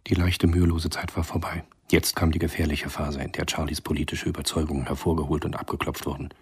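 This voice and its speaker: A man reading scripted narration for a German radio drama in a gravelly, husky voice. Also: extremely low pitch, flat delivery, fast talker, no echo, studio quality.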